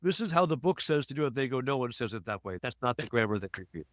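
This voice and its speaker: An American man hosting a podcast interview over a remote call, chatty and quick with jokey asides. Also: medium pitch, expressive, fairly bright tone, no room sound, studio quality. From United States. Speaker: podcast host. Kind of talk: casual interview chat.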